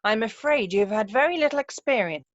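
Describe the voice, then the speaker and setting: A woman's voice: a little high, animated, fast talker, no echo, close to an everyday voice. A woman playing a self-assured, romantic young upper-class lady in an amateur play read-through, speaking precisely and emphatically over a video call. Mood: coyly insistent. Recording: muffled, dull video-call audio.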